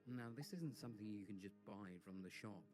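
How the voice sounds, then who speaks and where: A man narrating a film trailer in a slow, weighty, epic delivery. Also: on the low side, music in the background. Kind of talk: dramatic movie trailer narration.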